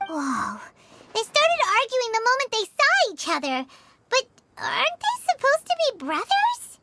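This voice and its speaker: A woman voicing an eager, excitable little girl adventurer in an animated video game. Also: very high voice, unhurried, very noisy, heavily acted. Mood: puzzled. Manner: childlike, excitable, wide-eyed.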